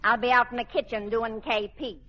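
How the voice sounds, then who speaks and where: An actress in an old radio sitcom playing a housemaid with a dry, complaining comic manner. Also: female voice, a little high, fairly even intonation, brisk, natural voice. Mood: obedient. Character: housemaid, dryly comic and put-upon. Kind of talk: 1940s-50s radio sitcom acting. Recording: muffled noisy old radio recording, loud studio audience.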